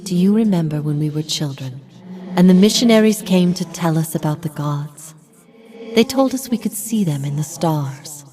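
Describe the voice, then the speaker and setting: Low-pitched, solemn woman heretic prophet. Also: animated, dark tone, faint music behind. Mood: reflective, earnest. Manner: solemn, measured, formal phrasing. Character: grave, steadfast female heretic prophet haunted by past lives. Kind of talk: dramatic fantasy game voice acting.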